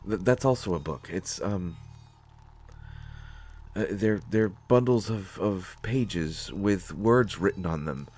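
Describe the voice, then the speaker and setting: A nervous, bookish man surviving in a science-fiction world , acted by a man. His low voice moves slowly, stumbling and stammering as he searches for words. It is performed as an intimate solo audio drama. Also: darkish tone. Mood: puzzled, awkward. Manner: hesitant, stammering, halting. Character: nervous, bookish male survivor of a sci-fi wasteland. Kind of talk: scripted sci-fi audio roleplay, solo acting.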